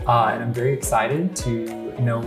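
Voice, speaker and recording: Female host, low register with lively swings, roomy sound, faint music behind. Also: moderate pace. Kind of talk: talk show hosting.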